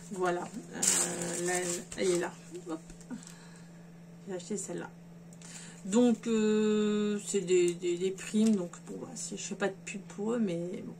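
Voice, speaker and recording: A woman talks casually at a middle pitch, rambling along and stopping often to restart her sentences with fillers. Clear background noise runs under her home recording. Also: darkish tone. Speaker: sewing vlogger. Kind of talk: casual chat to camera.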